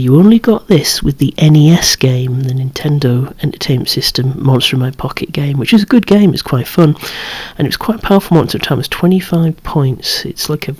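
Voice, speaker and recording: A person in a soft, breathy whisper, rambling hesitantly and correcting themselves while showing off a collection in ASMR style. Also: mid-range voice. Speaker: collector presenting a vintage toy collection. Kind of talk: whispered ASMR show-and-tell.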